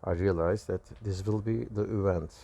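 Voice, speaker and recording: A man with a Turkish accent and Turkish as his first language gives a personal testimony, recounting visions in halting, self-correcting phrases. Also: low-pitched, steady tone, vocal fry, warm tone. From Turkey. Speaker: religious video creator.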